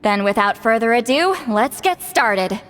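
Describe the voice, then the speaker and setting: An actress playing a commanding, sharp-tongued high priestess and grandmother in a video game, with a theatrical, teasing manner. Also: lively intonation, a little slow, big-room sound, slight background noise. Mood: sardonic, teasing. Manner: theatrical, incanting and sardonic. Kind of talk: video game character acting.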